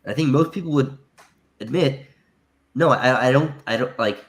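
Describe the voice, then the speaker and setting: A man's voice: medium pitch, moderate pace. A man with a naturally nasal voice made thicker by congestion. He rambles in a casual call-in manner, stumbling and restarting as he works through his point. He sounds like he is talking over a video call. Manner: rambling, hesitant, many restarts. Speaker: caller.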